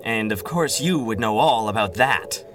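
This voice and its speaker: Man acting an animated, sardonic villain. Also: lowish voice, moderate pace, background noise, natural voice. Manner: dry, contemptuous. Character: impatient, sardonic male villain bent on world conquest. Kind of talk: 1930s radio serial acting.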